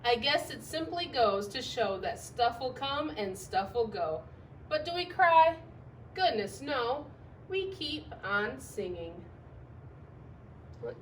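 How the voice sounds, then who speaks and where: A woman reads a picture book aloud to young children in an expressive, emphatic, sing-song way, putting questions to her listeners. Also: fairly high pitch, slow. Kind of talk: storybook read-aloud with sung refrains. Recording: distant microphone with faint background noise.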